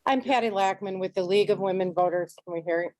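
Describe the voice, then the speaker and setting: A middle-aged woman with a loud teacher's voice, giving a community presentation in a meeting room. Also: mid-range voice, quick pace.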